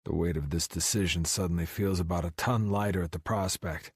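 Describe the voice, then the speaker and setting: Guy as a brooding driven exec narrating an audiobook, low voice, blunt and intense, close dry recording. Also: steady tone, brisk, breathy edge, no room sound. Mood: doubtful, reflective. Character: Ronan, brooding, driven, hot-tempered male finance executive. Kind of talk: first-person audiobook narration.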